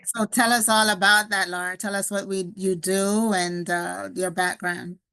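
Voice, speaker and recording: A woman's voice: mid-range voice, level tone, measured pace, clear, resonant tone. A woman hosting a podcast over a video call, asking curious open questions and adding her own remarks. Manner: curious, asks open questions, warm aside comments. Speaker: podcast host. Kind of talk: casual interview chat.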